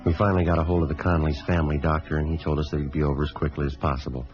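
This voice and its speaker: An actor playing a calm, weary police sergeant who narrates his case in a clipped, deadpan 1950s radio drama style. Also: a bass voice, very fast. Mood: flat, businesslike. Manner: clipped, deadpan, matter-of-fact. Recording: dull vintage radio recording with faint music.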